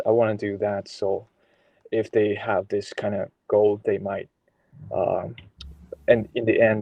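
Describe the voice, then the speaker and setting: Casting: young man from China, low gravelly voice, dry sound, hesitant class discussion. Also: dark tone, no echo, low-quality recording. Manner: hesitant, many fillers. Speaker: student discussing.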